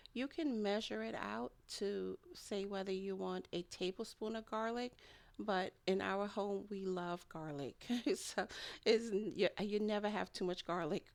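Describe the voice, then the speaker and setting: A woman's voice: medium pitch, creaky. A woman narrating a homemade food vlog in a casual, rambling way, often with a light chuckle in her talk. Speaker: home food and garden vlogger. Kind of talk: casual voice-over narration of a kitchen process.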